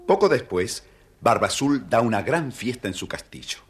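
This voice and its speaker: A man's voice: mid-range voice, big pitch swings, moderate pace, a little hiss or hum. A man narrating a scripted children's fairy tale expressively. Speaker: storyteller, narrator.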